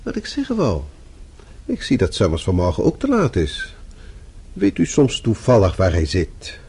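A middle-aged boss in a radio drama, formal and dryly cutting. His voice is soft-edged and husky, with intonation that swoops as he disapproves. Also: on the low side, wildly swooping pitch, moderate pace, exaggerated voice. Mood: dryly disapproving. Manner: formal, clipped, dryly sarcastic. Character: stern, sardonic middle-aged male boss, fatherly and disapproving. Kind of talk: radio play acting.

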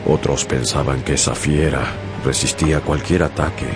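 A man's voice: very deep voice, steady tone, moderate pace. A man narrating a radio drama in the first person, grave and dramatic. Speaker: narrator as Ishmael. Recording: radio drama with loud music and crowd.

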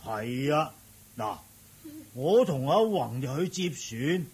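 A really raspy old uncle, hong kong cantonese, fussy kindly peacemaker, swoopy excitable radio drama delivery. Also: male voice, medium pitch, takes it slowly, heavily acted. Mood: coaxing, explaining. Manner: excitable, stammering, coaxing.

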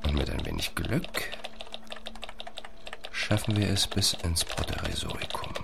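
A man's voice: sits low, huge pitch swings, unhurried, creaky, heavy background noise, exaggerated voice. An actor playing an obsessive, pushy male scientist in a radio drama, urgent and insistent. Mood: excited muttering. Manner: urgent, insistent, muttering when alone.

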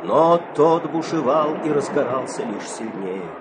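Animated, theatrical male fairy-tale narrator. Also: a little high, a little slow, background noise. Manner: theatrical, suspenseful storytelling.

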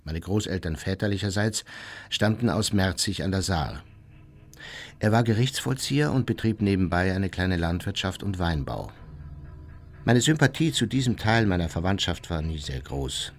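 Old war criminal in a radio play, really low voice, formal, matter of fact about his life. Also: expressive, moderate pace. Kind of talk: radio drama monologue.

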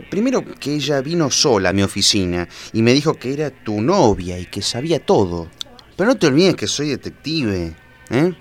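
A man's voice: mid-range voice, natural voice. An Argentine man playing a bumbling, self-satisfied private detective in a comedy radio play, pompous and absent-minded.

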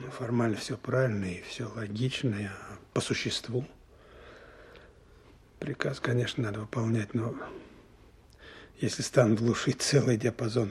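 A man's voice: medium pitch, a little mellow, breathy edge. An actor playing a worried, stubborn lieutenant colonel in a Soviet radio drama, weighing his words as he presses his doubts. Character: worried, stubborn male army lieutenant colonel, questioning superiors.

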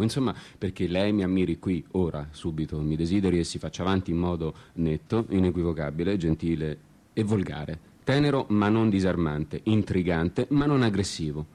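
A man's voice: low voice, brisk, mellow. A man performing a dramatic literary monologue as a radio theatre storyteller, with an ironic, brooding, theatrical manner. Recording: guitar music behind the voice. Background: guitar music.

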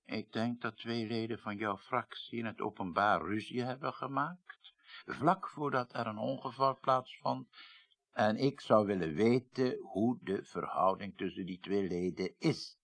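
An actor playing an earnest, discreet middle-aged official investigating a case in a radio drama, with a husky voice and Netherlands Dutch accent, formal and insistent. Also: male voice, on the low side, measured pace, fairly bright tone. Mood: insistent, grave. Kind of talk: 1980s radio drama acting.

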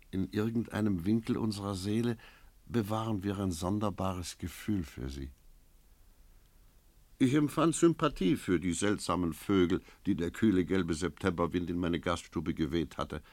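An actor playing a cynical, brooding innkeeper in a German radio play, reflective and skeptical. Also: male voice, sits low, darkish tone, dry recording, heavily acted. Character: cynical male innkeeper, brooding first-person narrator.

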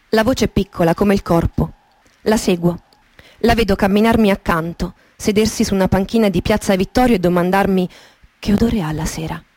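A woman gives a dramatic literary reading in the manner of a radio theatre monologue, intimate and poetic, with deliberate pauses. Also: mid-range voice, dark tone. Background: music with guitar and sound design.

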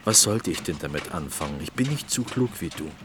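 An actor playing an earnest, persistent village potter, pleading and insistent, in a German radio play with background noise. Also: male voice, low-pitched, lively intonation, fairly quick, over music.

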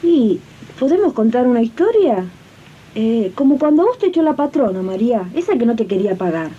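A woman's voice: very animated, fairly quick, mellow, breathy edge. A woman playing a modest, good-natured, bashful domestic worker with an Argentine accent in an amateur radio drama. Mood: suggesting. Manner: colloquial, bashful. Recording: dull lo-fi sound, faint rumbling noise.

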